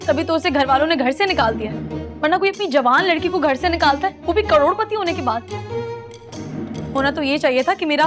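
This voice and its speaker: An actress playing a theatrical young woman who chats and complains dramatically to a friend, over background music. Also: high-pitched. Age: young adult. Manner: theatrical, self-pitying, chatty.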